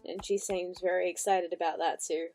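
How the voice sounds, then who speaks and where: Lower-pitched woman giving casual commentary. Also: little pitch movement, moderate pace, music in the background. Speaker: reaction commentator.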